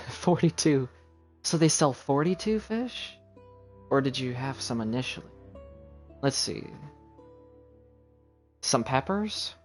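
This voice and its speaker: A man's voice: mid-range voice, expressive, slow, long pauses, soft background music. A man livestreaming a game, chatting casually in a rambling, self-deprecating way. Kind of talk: casual chat while gaming on a livestream. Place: voice over game music on a livestream.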